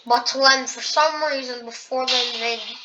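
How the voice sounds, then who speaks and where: A young female narrator giving casual play-by-play of a map war game, with frequent halting restarts. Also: high voice, fairly even intonation. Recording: dull lo-fi audio with clear background noise.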